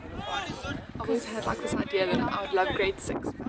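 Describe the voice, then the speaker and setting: A man's voice: high voice, lively intonation, moderate pace. A man whose first language is Afrikaans, with a South African accent, chatting casually and laughing easily on the sidelines of an outdoor frisbee game. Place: outdoor sports field. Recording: loud crowd noise outdoors.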